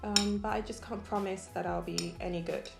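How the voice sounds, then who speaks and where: A woman's voice: medium pitch, steady tone, normal speed, vocal fry, reverberant, music in the background. A young woman from Nigeria chatting casually while she eats, with plenty of ums. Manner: chatty, eating between lines, many ums. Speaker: food vlogger. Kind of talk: casual mukbang chat.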